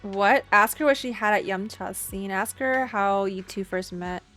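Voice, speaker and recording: A woman's voice: mid-range voice, measured pace, fairly bright tone. A woman streamer giving casual reaction commentary on a game and reading story text aloud. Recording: game music behind the voice.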